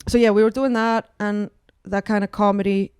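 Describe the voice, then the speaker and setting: A woman's voice: mid-range voice, level tone, moderate pace, a little mellow, clean tone, no echo, studio quality. A woman with an Icelandic accent, a guest comedian telling wry, self-deprecating stories in casual podcast chat, with many fillers.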